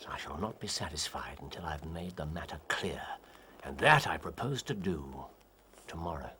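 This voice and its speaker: A man's voice: very deep voice, animated, normal speed, background noise. A man playing a keen, confident detective in a period radio drama, with theatrical, formal old-fashioned phrasing. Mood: intent, musing.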